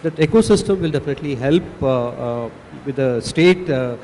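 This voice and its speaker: A man with an Indian English accent giving halting panel remarks full of fillers and restarts. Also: fairly high pitch, expressive, dark tone. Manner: hesitant, many fillers and restarts. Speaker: panelist, film city executive. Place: large conference hall. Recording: echoey hall, faint noise.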